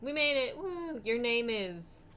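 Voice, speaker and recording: A woman co-hosting a casual game playthrough at home, chatting and reading the game's text aloud in a giggly, quick, bossy way. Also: a little high, very animated, sharp tone. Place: home room. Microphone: shared desk microphone.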